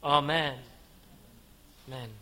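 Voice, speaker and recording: A man preaching a sermon in a church, earnest and measured, rising to fervent prayer. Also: on the high side, steady tone, sharp tone. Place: church building.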